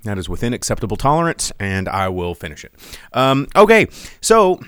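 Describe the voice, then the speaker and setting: A man's voice: lowish voice, very animated, brisk, fairly bright tone, smooth, dry recording. A man hosting a podcast livestream in a casual, rambling way, with lots of ums and restarts and a loose, jokey tone. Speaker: podcast host.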